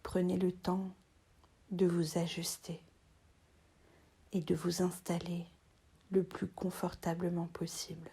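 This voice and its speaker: A woman with a lowish, mellow voice leads a guided relaxation. She half-whispers in short, soothing phrases with long pauses between them. Her delivery stays very even in pitch. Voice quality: soft, breathy, half-whispered. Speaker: yoga teacher.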